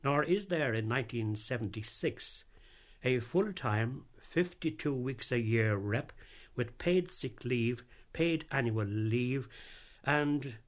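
A middle-aged or older man giving a scripted personal radio talk, emphatic and precise, repeating phrases deliberately for effect. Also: mid-range voice. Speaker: radio drama founder giving his recollections.

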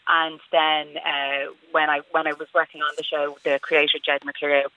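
A woman's voice: low voice, flat delivery, normal speed, no room sound. A woman with a Dublin accent, an actress calling in to a radio show, talking chattily with many fillers. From Dublin, Ireland. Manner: chatty, rambling, lots of fillers. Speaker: actress, phone guest. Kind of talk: casual phone interview. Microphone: phone. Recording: tinny phone line.

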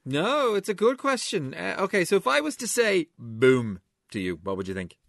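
A man co-hosting a show, joking and getting flustered, with a lively, laughing delivery. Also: high-pitched, moderate pace, gravelly, no echo, clean studio sound. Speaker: co-host. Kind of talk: playful scripted banter.

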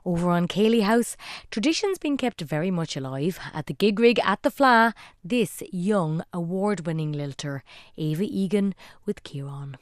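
A woman's voice: on the low side, lively intonation, normal speed, dry recording, studio quality. Wry Irish woman presenting on radio. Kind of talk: scripted radio links.

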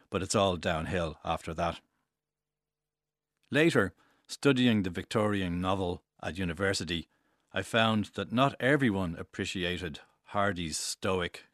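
Man from Ireland, very deep voice, composed wry reading, dry close sound. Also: a bit bright, no room sound. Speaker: essayist reading his own piece. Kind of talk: scripted literary reading.

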